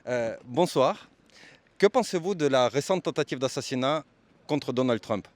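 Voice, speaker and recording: A man's voice: mid-range voice, lively intonation, moderate pace, dry recording. A man working as a street interviewer, asking questions politely and formally and giving his commentary. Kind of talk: vox pop interviewing and commentary.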